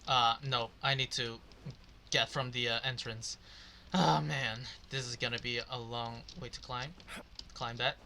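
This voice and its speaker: A man's voice: mid-range voice, steady tone, unhurried, gravelly, sharp tone, a little hiss or hum. A young man giving casual, slangy gameplay commentary, muttering asides as he reacts. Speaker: gaming streamer reacting to a story quest.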